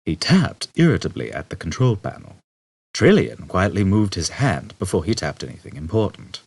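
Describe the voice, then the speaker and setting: A man's voice: deep voice, wildly swooping pitch, moderate pace, vocal fry, darkish tone, husky, no echo. A man narrating scripted fiction as an audiobook reader.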